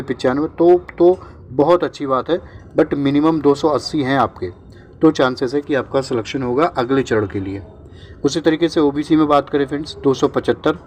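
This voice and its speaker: A man's voice: medium pitch, a little hiss or hum. A man presenting exam updates informally to candidates, repeating himself with check-in tags, mixing in English words and sounding reassuring. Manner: mixes English words into speech.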